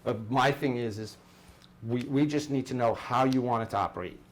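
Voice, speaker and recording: A man with a mid-pitched voice runs an informal technical walkthrough for staff. He explains things step by step and repeats short phrases as he goes. There is light background noise in the room. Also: normal speed. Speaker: installer training staff on an intercom system. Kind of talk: informal hands-on product demonstration. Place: indoor room at a workplace. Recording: noisy room, ambient background hiss.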